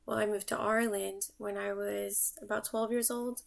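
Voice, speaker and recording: A woman's voice: mid-range voice, little pitch movement, moderate pace, vocal fry. A young woman from the United States, an artist introducing herself in a casual vlog, talking in a reflective, thinking-aloud way. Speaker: artist and vlogger. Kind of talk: casual self-introduction to camera.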